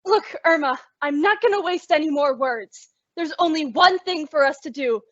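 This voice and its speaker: A woman's voice: high-pitched, normal speed, a bit bright, dry recording. A woman playing a sensible, exasperated roommate with a sardonic, dramatic delivery in old-time radio comedy. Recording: dull lo-fi radio-style recording.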